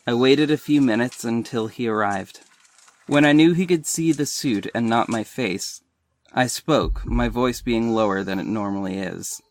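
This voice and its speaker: A man's voice: medium pitch, unhurried. A man giving scripted first-person narration as the lead of an audio drama.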